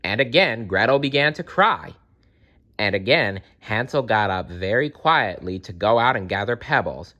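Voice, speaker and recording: A man reads a fairytale aloud for children. His voice is a little low in pitch but bright and forward. He is expressive and talks straight to his young listeners. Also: normal speed, muffled recording. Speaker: storyteller.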